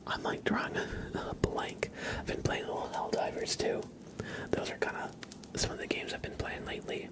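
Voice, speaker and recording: A man speaking in a soft, breathy whisper, chatting casually with viewers as an ASMR livestream host. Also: brisk.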